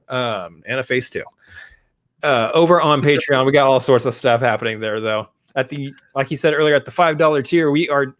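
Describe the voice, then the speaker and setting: This is a man hosting a casual live stream, voice sitting at an ordinary middle pitch. He rambles from joke to plug with upbeat, offhand energy. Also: a bit bright. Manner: jokey, rambling, upbeat plugging. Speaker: podcast host.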